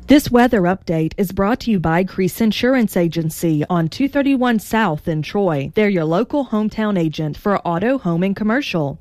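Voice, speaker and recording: A woman reading a short sponsor message in a crisp, even way. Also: fairly low pitch, dry recording, studio quality. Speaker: sponsor announcer.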